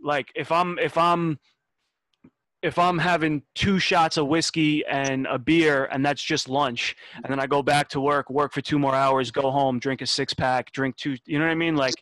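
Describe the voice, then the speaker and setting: Man, twenties, podcast host, casual, swears a lot, fairly high voice, dry sound. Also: flat delivery, normal speed, a bit bright, dry recording, rough recording. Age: 20s. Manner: laid-back, swearing, lots of "like" and restarts.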